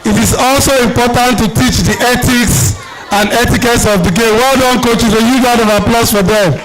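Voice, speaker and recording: Very high-voiced Nigerian man hosting an event. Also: fairly even intonation, low-quality recording. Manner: brisk, directive, repeats commands. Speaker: event host, master of ceremonies. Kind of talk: live announcing to schoolchildren. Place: outdoor cricket ground. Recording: outdoor event with loud crowd chatter. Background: crowd noise.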